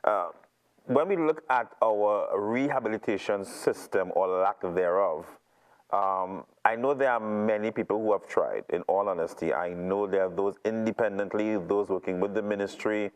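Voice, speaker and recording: A man's voice: lowish voice, moderate pace. A man with a Trinidadian accent hosting a conversational interview, thinking aloud as he builds up his questions. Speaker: interviewer, show host.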